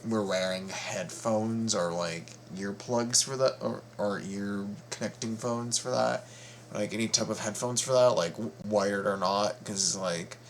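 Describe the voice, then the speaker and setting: A man hosting a casual reaction vlog, talking haltingly with repeated words and stammers. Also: on the low side. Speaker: reaction video host. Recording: steady background noise.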